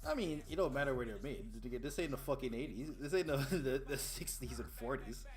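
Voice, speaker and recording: A man's voice: mid-range voice, lively intonation, fairly quick, soft background music. A man from Jamaica co-hosting a podcast, bantering casually, swearing freely and laughing often. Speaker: podcast co-host.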